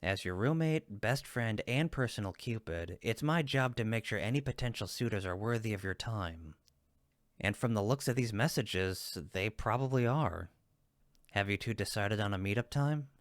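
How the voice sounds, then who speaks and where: A man's voice: lowish voice, expressive, normal speed, no echo, clean studio sound, natural voice. A man playing a friendly cupid roommate in a comfort roleplay, teasing and supportive, with an emphatic, reassuring manner. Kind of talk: roleplay audio comfort acting, one-sided conversation.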